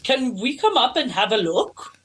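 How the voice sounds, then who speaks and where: A woman's voice: on the high side, sing-song. A woman playing a stately questing king. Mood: commanding. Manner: formal, measured proclamations. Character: stiff, dignified legendary king on a quest. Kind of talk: amateur comedy script read-through.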